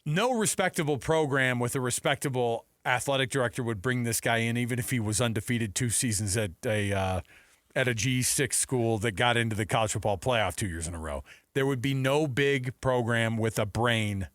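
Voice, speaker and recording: A man's voice: medium pitch, normal speed, a bit bright, no room sound, studio quality. A man co-hosting a sports radio show with casual, sarcastic banter and a joking manner. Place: radio studio.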